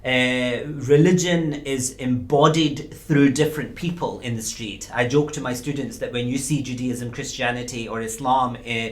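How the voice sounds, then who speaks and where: A man, a university lecturer as interview guest, speaking in a thoughtful, measured way with pauses. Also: mid-range voice, fairly even intonation. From Scotland. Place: small studio.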